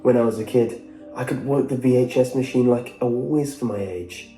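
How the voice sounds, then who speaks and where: A man narrating a scripted first-person story in a measured, wistful manner. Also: medium pitch, dark tone. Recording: roomy echo, music behind. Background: background music.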